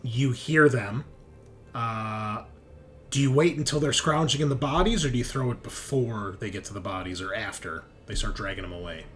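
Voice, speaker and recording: A man's voice: medium pitch, normal speed. A man acting as game master, talking players through a tabletop roleplaying game. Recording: faint background noise.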